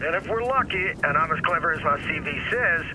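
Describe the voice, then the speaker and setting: An actor playing a wry, casual hacker ally talking a partner through a plan in a video game. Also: male voice, on the high side, expressive, fairly quick, heavy background noise, natural voice. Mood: wry, encouraging. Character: wry, folksy male hacker ally giving instructions.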